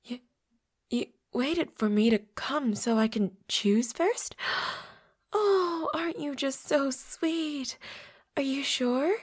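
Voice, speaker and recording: Woman acting a lively, breathy vampire roommate. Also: a little high, takes it slowly, exaggerated voice. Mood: sweet, shy. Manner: stammering, playful, breathy asides in whispers. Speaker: voice actor. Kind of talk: flirty audio roleplay acting.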